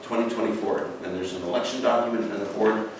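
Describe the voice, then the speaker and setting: A man's voice comes from across a very echoey room with clear background noise. He chairs a board meeting in plain procedural talk, informal and halting, often correcting himself. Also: lowish voice, fairly even intonation. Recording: echoey meeting room, distant mic, noisy.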